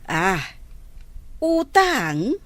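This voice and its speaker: A woman's voice: medium pitch, big pitch swings, very slow, a bit bright, no echo, heavily acted. A woman playing a curious, easily impressed village neighbour in a radio comedy drama, full of exclamations. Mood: surprised.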